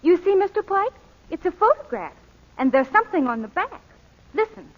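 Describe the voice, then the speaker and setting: Very high-voiced girlish daughter, radio play. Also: sing-song, normal speed, big-room sound. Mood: eager. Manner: girlish, singsong when reading aloud. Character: dutiful, eager young daughter of a gossip. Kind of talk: 1940s radio acting. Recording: muffled old 1940s radio broadcast.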